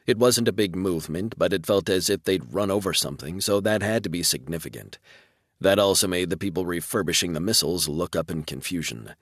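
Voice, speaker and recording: A man's voice: sits low, fairly quick, dry recording, clean studio sound. A man reading scripted narration for a military science fiction audiobook.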